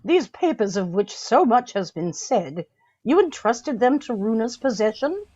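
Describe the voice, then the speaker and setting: A woman's voice: fairly high pitch, very animated, a little slow, darkish tone, husky, highs cut off, heavily acted. A woman playing a formal, authoritative official questioning a witness at an inquest in a radio drama. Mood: probing. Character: formal, probing official presiding over an inquest.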